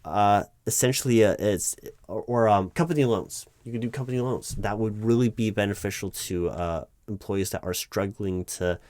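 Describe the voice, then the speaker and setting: A low-pitched, dry-sounding recruiting manager, acted out by a man, flustered and exasperated. He halts, stammers and repeats himself as he objects, in a spoken-aloud office roleplay. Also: moderate pace, hardly any pauses, a little mellow, dry recording, close to an everyday voice. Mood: earnest, halting. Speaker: roleplay performer. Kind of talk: improvised one-sided roleplay, loud spoken ASMR.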